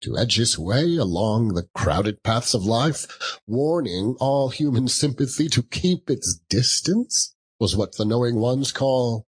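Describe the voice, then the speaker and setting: Slow, husky theatrical male storyteller. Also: mid-range voice, expressive. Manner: dramatic, deliberate, lingering on words. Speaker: audiobook narrator. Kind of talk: theatrical scripted storybook narration.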